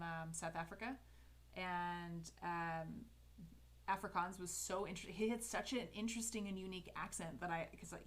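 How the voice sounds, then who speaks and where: A woman with a Canadian English accent chatting casually with her live viewers while she letters, upbeat and full of fillers and restarts. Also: fairly low pitch. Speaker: calligraphy livestreamer.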